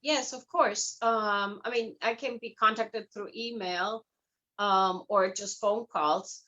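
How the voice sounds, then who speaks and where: A woman's voice: mid-range voice, fairly even intonation, slow, fairly bright tone. A woman speaking as an interview guest in a chatty, conversational way, explaining things through personal anecdotes. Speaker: guest, hypnotherapist and life coach.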